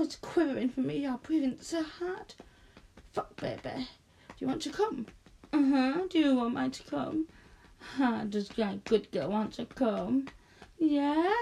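A woman speaking softly and coaxingly in an intimate audio roleplay, close to the mic, often dropping to a whisper. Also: on the high side, slight background noise. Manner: soft, coaxing, slow and breathy with frequent whispers.

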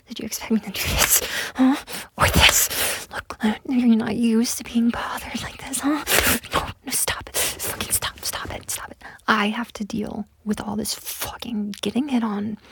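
A woman's voice: a little high, a bit bright, no room sound, exaggerated voice. A young woman voicing a pushy, entitled woman on a date in a one-sided audio roleplay, talking in a close, whispery way with lots of swearing. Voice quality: husky, breathy, gravelly. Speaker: voice actor.

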